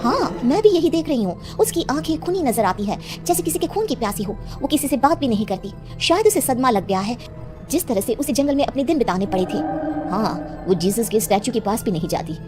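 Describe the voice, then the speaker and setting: A woman's voice: on the high side, big pitch swings, brisk, over music. A woman voicing a suspicious nun in a cartoon drama, sharing worries in a concerned, gossipy way. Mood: uneasy. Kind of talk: Hindi cartoon drama voice acting.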